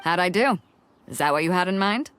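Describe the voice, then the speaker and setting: Breezy, upbeat ship captain. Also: female voice, fairly low pitch, expressive, fairly bright tone, a little hiss or hum. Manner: brisk, upbeat.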